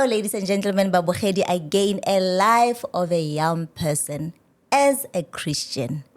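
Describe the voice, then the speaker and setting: Low-voiced woman hosting. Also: a little mellow. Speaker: talk show host. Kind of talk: conversational interview.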